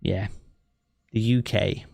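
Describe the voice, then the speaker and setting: A man's voice: fairly low pitch, steady tone, slow, creaky, darkish tone, slightly rough, no echo. Easygoing man from southeast England, dry sound. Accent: southeast English. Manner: chatty and easygoing, thinks aloud with many fillers. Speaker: game streamer. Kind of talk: casual live-stream chat, reading chat aloud. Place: home living room. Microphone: streaming mic with effects.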